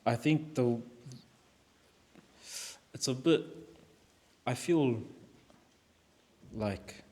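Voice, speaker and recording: A young business owner from South Auckland with a New Zealand accent, talking casually in an interview and often pausing to search for words. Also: male voice, mid-range voice, dark tone. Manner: halting, searching for words, says "bro".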